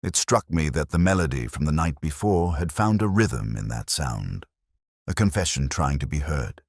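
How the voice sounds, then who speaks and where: Man, very low-pitched voice, expressive intonation, dry close sound, measured detective-story narration. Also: vocal fry, breathy edge, dry recording. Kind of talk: scripted first-person mystery narration.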